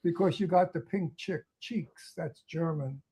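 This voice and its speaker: A man's voice: fairly high pitch, measured pace, mellow, husky. A man co-hosting an interview show, cutting in with blunt remarks and questions. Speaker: co-host. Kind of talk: casual interview chat.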